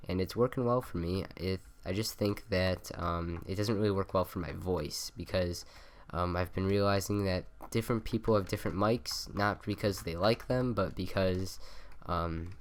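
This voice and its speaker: A young man with a nasal voice rambling through a game tutorial, with lots of ums and self-corrections, on a cheap desk mic. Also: low-pitched. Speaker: gaming tutorial maker. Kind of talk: casual game tutorial voice-over. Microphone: cheap USB desk mic by the keyboard.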